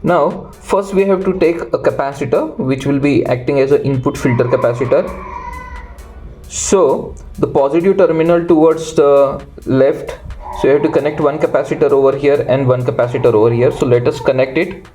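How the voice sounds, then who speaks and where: A man walks through a hands-on electronics build. His voice is mellow and mid-pitched. He speaks in a halting, unscripted way, pausing mid-phrase, with music playing behind him. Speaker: electronics tutorial host. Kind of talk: unscripted step-by-step hands-on tutorial.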